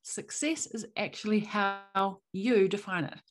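A woman's voice: medium pitch, measured pace. A woman hosting a business podcast, reading an upbeat intro and then interviewing a guest. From New Zealand. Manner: upbeat, promotional delivery. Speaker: podcast host.

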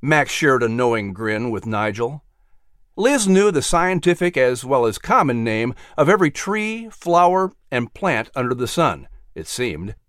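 A man narrating a children's story as announcer, with expressive, scripted storytelling delivery. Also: medium pitch, a little slow, no echo, clean studio sound.

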